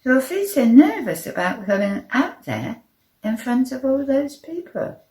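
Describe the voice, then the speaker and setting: A woman voicing a tiny, brisk and bossy male captain in a children's story, commanding yet reassuring. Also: high-pitched, big pitch swings, mellow, reverberant, pitched above the performer's own voice. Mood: matter-of-fact.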